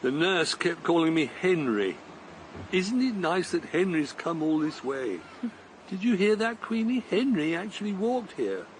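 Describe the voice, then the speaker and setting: A man's voice: a little high, sing-song, unhurried, slight background noise, poor audio. An actor playing a weary older man on a long walk, speaking in a hesitant, stammering, apologetic way. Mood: defeated. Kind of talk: radio drama acting.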